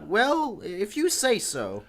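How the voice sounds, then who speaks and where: Casting: woman as naive teenage boy, worried stammerer, very animated intonation, slow delivery, radio comedy. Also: mid-range voice, heavily acted. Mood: hesitant. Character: earnest, nervous, naive teenage son. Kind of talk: live old-time radio comedy acting. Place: radio studio, live performance.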